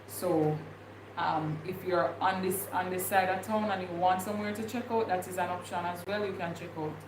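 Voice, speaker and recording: A woman's voice: on the low side, fairly even intonation, moderate pace. A young woman with a Jamaican accent chatting casually on a livestream, rambling and thinking aloud with many fillers. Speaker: vlogger. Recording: echoey room with clear background noise.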